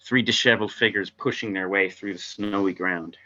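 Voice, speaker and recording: A man's voice: sits low, fairly even intonation, fairly quick, bright, forceful tone. A man narrating stage directions from a script at an informal table read, heard over lo-fi video call audio.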